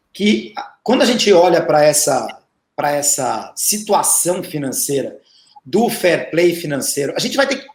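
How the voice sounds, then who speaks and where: A man's voice: on the high side, echoey room, slight background noise. A man co-hosting a panel discussion who jokes and steers the talk between guests. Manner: joking, brisk moderator who steers the talk. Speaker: co-host columnist.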